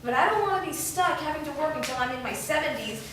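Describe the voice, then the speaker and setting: A woman's voice: sits high, lively intonation, fast talker. A woman reading the part of a frustrated wife who scolds her lazy husband, pleading and exasperated, in a staged reading. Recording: echoey room, far mic, hiss.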